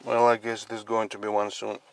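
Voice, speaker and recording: A man giving a casual product demonstration as a collector, speaking in halting, piecemeal phrases. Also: lowish voice, completely flat delivery, creaky, a little hiss or hum, low-quality recording. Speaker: radio collector presenting his collection. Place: inside a parked car.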